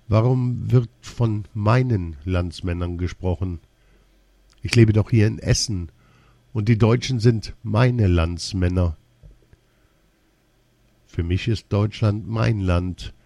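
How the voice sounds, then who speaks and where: A man reading a first-person personal essay aloud in a slow, measured, deliberate way. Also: on the low side, warm tone, no echo.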